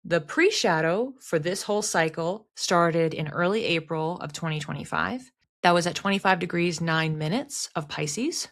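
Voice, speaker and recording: A woman's voice: fairly low pitch, moderate pace, a bit bright. A woman giving an explanatory solo talk to viewers in a conversational manner, restating words for emphasis. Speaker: astrology content creator and host.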